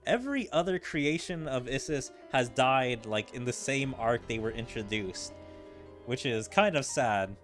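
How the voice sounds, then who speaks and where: A male gaming streamer giving casual commentary in a rambling way, thinking out loud as he goes. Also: medium pitch, lively intonation, unhurried. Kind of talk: casual game commentary, reading dialogue aloud. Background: game music.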